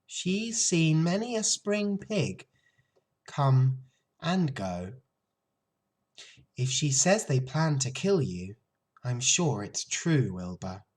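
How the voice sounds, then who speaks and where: A composed female spider from a storybook, played by a woman, rather low and slow in delivery. She is thoughtful, firm and no-nonsense. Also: big pitch swings, darkish tone, heavily acted. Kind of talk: storybook character voice.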